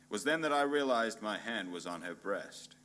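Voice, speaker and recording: A man playing a hard-boiled, pompous and bumbling private detective in a noir radio play parody, narrating in a deadpan, melodramatic way. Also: lowish voice, normal speed, background noise, natural voice. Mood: deadpan brooding.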